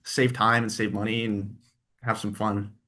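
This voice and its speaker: A man's voice: on the low side, little pitch movement, a little slow, bright, forceful tone. Chatty, easygoing man. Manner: friendly, rambling, laughs easily. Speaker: guest, tribute performer and engineer. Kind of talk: casual interview chat.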